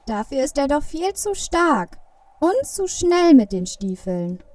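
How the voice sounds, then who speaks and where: A woman playing an excitable, eager little Christmas elf in a children's audio play. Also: high voice, takes it slowly, no room sound, distant mic, exaggerated voice. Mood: keen.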